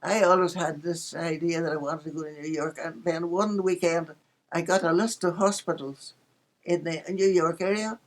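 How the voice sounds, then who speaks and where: An elderly Northern Irish woman looks back on her life in casual conversation. Her voice sits on the low side for a woman, and she tells anecdotes chattily, with a dry sense of fun. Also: steady tone, moderate pace. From Northern Ireland. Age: 80s. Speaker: interviewee recalling her life. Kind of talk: casual reminiscence, storytelling.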